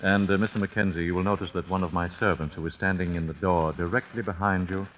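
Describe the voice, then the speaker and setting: A very low, formal old gentleman played by a man in a vintage radio thriller. He speaks with measured courtesy and quiet menace, on a dull, faintly noisy recording. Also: steady tone, lightly acted. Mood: politely menacing. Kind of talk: old-time radio crime drama acting.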